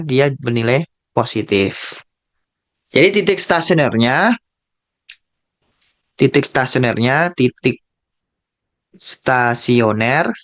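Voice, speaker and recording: Slow, methodical Indonesian math tutor man. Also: medium pitch. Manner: slow, methodical, repeats key terms, thinks aloud with fillers. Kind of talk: tutorial walkthrough of a calculus problem.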